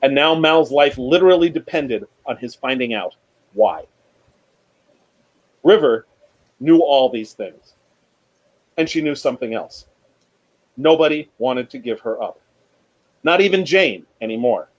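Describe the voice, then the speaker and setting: A man speaking as a panelist, chatting casually and reading book excerpts aloud in a measured, storytelling way. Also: medium pitch, lively intonation, lo-fi sound. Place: remote online panel from home.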